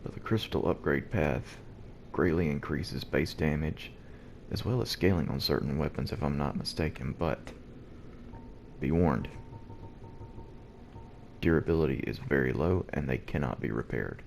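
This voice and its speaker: A man with an extremely deep, gravelly voice speaks softly and low, holding back so as not to wake the house. He talks through gameplay in a relaxed, hushed way, with clear hiss and noise underneath. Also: unhurried. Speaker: let's play host. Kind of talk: gameplay commentary. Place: at home early in the morning. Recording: noisy background hiss.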